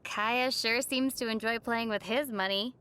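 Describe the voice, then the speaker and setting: Young traveller heroine, high voice, gentle, thoughtful, measured game dialogue, music behind. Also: female voice, steady tone, slight background noise. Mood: lightly curious. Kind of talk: video game voice acting.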